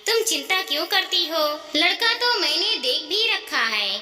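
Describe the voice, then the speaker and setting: Caring old widowed mother-in-law, read by a woman storyteller, earnest and persuasive, very bright, echoey. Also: very high voice, sing-song, unhurried, heavily acted. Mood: reassuring, persuasive. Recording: echoey, reverberant hall-like sound.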